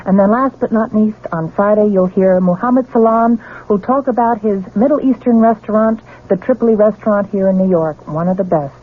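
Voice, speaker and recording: A woman's voice: medium pitch, a little fast, hardly any pauses. A woman hosting a radio program, reading a scripted promo. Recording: tinny, band-limited old radio broadcast.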